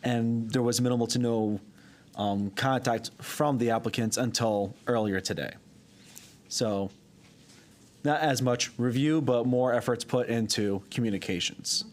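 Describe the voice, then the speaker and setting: A man on town staff explaining fees and procedure to a board at a public meeting, with frequent ums and restarts. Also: medium pitch. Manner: halting, with frequent ums and self-corrections. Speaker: town wetlands staff member. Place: town hall meeting room.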